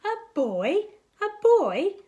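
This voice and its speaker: A woman voicing a friendly, greedy cheese monster from a children's storybook, explaining things slowly and patiently. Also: sits high, very animated, mellow, pitched above the performer's own voice, full character voice. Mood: amazed.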